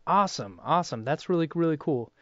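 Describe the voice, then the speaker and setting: A man's voice: on the high side, expressive, quick pace, a bit bright, no room sound, highs cut off. A young man hosting a casual interview in a hesitant, loosely phrased way. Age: young adult. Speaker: interviewer and voice actor.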